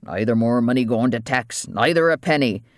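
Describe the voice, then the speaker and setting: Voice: medium pitch, animated, normal speed, no echo, studio quality, natural voice. An audiobook narrator voicing an angry, wild island waterman who talks in agitated, defiant rustic dialect.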